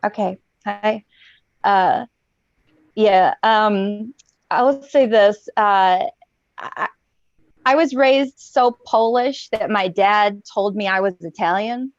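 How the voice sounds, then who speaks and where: A woman's voice: medium pitch, no room sound. Hesitant woman comic on dull call audio. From Chicago area. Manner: hesitant, halting start. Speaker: stand-up comedian. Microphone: video call.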